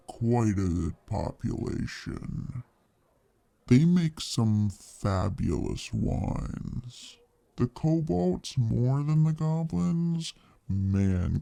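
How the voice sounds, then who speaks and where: A man's voice: lowish voice, creaky, mellow, no echo, exaggerated voice. A man playing a friendly, easygoing fantasy tavern bartender who chats casually and folksily to the listener in an ASMR roleplay. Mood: chatty, fond. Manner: laid-back, hesitant, folksy, chatty.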